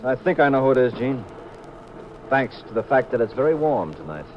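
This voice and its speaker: An actor playing a calm, confident, reticent detective husband in a 1950s radio mystery. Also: male voice, medium pitch, brisk, natural voice. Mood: pondering. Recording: muffled, noisy 1950s radio recording.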